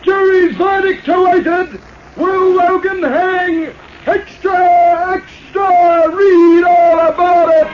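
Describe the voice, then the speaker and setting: An actor playing a young street newsboy hawking papers in a 1940s radio drama with long shouted cries. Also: male voice, extremely high pitch, steady tone, slow, long pauses, a little hiss or hum. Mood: urgent, hawking. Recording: muffled old radio recording, music behind.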